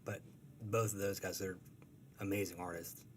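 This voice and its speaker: A man's voice: deep voice, steady tone, normal speed, background noise. A man who is a cartoonist, talking casually in an interview, rambling and correcting himself, laughing easily. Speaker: cartoonist guest.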